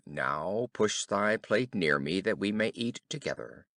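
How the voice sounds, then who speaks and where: A man's voice: fairly low pitch, lively intonation, a bit bright, no echo, studio quality, voice pushed down. A man voicing an insistent, pleading talking frog in a storybook, with formal, old-fashioned phrasing. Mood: demanding. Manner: archaic, formal, slow deliberate phrasing.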